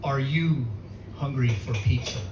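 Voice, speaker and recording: A man's voice: mid-range voice, measured pace, distant mic. A man from South Dakota performing spoken word poetry with a rhythmic, dramatic delivery and a sardonic humor. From South Dakota, USA. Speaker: featured poet. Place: poetry reading venue, indoors. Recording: echoey room recorded from afar, noisy.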